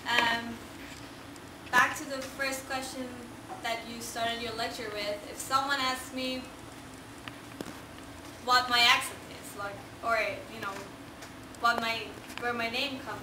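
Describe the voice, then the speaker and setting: A woman in the audience hesitantly works through her question, pausing to search for words. Her voice is high. She sounds distant in a reverberant hall with background noise. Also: fairly even intonation. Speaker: audience member asking a question. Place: large echoey lecture hall. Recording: echoey hall, far-off, noisy.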